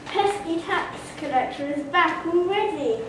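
A schoolgirl playing a defiant, grumbling peasant in an amateur puppet play, with halting delivery. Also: extremely high pitch, lively intonation, fairly bright tone, full character voice. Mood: worried. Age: schoolgirl, about 12. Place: school classroom. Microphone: distant camera. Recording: echoey noisy classroom from far off.

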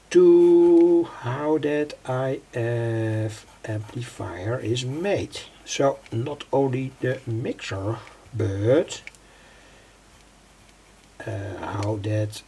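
An older man giving an unscripted hands-on tutorial in a halting, deliberate way, often repeating his phrases. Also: mid-range voice, warm tone. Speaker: electronics hobbyist presenter. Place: indoors at a home workbench. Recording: clearly noisy home recording.

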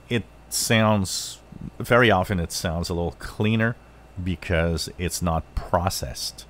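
A man hosting a hobby review, explaining things unscripted in halting short phrases, as if thinking aloud. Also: low voice. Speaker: channel host reviewing radios.

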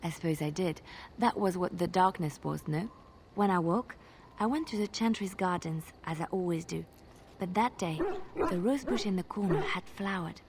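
An actress playing a devout young archer in a fantasy video game, earnest and hopeful, telling things in a hushed, dramatic way. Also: female voice, on the low side, normal speed, background noise, natural voice. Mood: wistful, awed.

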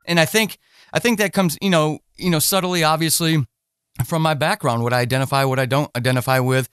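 A man with a slightly high voice, recorded close and dry. He hosts a casual podcast interview and speaks haltingly, reworking his questions as he talks. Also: steady tone, fairly bright tone, smooth, dry recording. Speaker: podcast host interviewing a writer.

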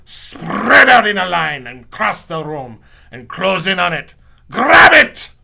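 A tyrannical witch leader from a children's storybook, acted out by a man. The voice is forceful and piercing, barking cruel orders with haughty command. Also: sits high, big pitch swings, slow pace, vocal fry, voice pushed up, exaggerated voice. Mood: furious, commanding. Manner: imperious, shouting orders and insults. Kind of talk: dramatised children's audiobook villain.

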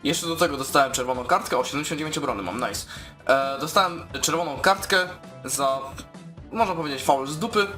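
A man commentating casually on his own video game play in an excitable, informal way, with speech. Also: a little high, a little fast, soft background music. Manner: excitable, exclaiming, informal with swearing. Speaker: gaming YouTuber.

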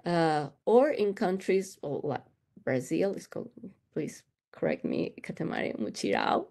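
A woman lecturer from Ecuador speaks with an Ecuadorian Spanish accent. Her voice sits fairly low and is distinctly mellow and relaxed. She presents academic material over a video call, pausing often as she picks her words. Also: gravelly. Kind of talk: slide lecture. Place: room with bookshelves, indoors.

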